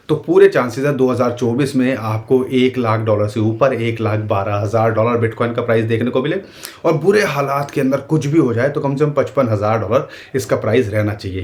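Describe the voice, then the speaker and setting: A man's voice: mid-range voice, darkish tone. A man with an Indian accent explaining market charts to his viewers in casual, earnest talk, with a sore throat. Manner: earnest, emphatic, addresses viewers directly. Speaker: crypto market commentator.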